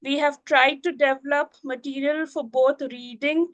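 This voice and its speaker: A middle-aged woman whose first language is Urdu, a Montessori teacher talking gently and unhurriedly, with many pauses and "you know"s. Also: high-pitched, steady tone, no echo, highs cut off. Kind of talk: reflective talk to camera.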